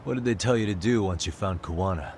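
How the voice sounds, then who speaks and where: Deep-voiced, cool, shrewd investigator. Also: male voice, fast, slight background noise. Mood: interrogating. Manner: confident, dry, probing. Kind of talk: English dub video game cutscene acting. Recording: loud music over the voice.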